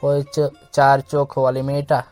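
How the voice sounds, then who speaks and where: A man playing a flat-toned, cheeky younger brother. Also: medium pitch, normal speed, lightly acted. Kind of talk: audio story acting. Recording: music under the voice.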